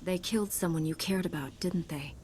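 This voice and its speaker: A woman's voice: lowish voice, fairly quick, darkish tone, in a large hall, slight background noise, natural voice. A woman acting a cool, confident knight heroine in a science-fiction video game. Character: cool, confident female Jedi knight hero.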